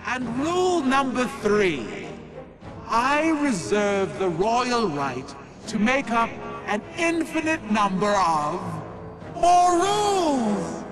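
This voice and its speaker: A woman's voice: a little high, big pitch swings. A woman playing a vain, imperious queen villainess in a video game, pompous and regal. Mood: imperious, proclaiming. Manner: pompous, regal, drawn-out delivery. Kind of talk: video game cutscene acting. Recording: loud music behind the voice.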